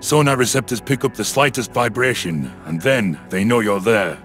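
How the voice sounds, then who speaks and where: Guy doing a calm stern sub commander, lowish voice, proud and authoritative, sci-fi audiobook w/ soft music. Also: natural voice. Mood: instructive. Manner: measured, authoritative, proud explaining. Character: calm, stern male human submarine commander.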